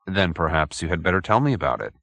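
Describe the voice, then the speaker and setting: A man's voice: deep voice, sing-song, fast talker, vocal fry, fairly bright tone, dry recording, exaggerated voice. A man voicing a businesslike, skeptical private detective in an audiobook, blunt and probing with a dry manner.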